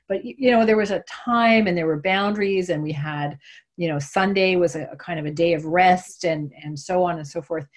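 A woman mental health specialist giving measured, informative interview answers, with frequent "um" pauses. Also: fairly low pitch.

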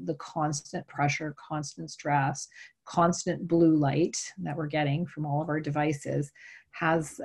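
Husky low-voiced woman expert guest. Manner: measured and informative, frequent "um" fillers. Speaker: workplace mental health specialist, guest. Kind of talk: explanatory interview answers.

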